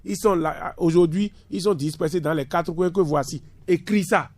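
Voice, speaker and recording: A man's voice: fairly high pitch, normal speed, a little mellow, no echo. A man in his fifties, a university lecturer speaking as a radio guest, emphatic and fond of repeating words for stress. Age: 50s. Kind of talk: radio discussion.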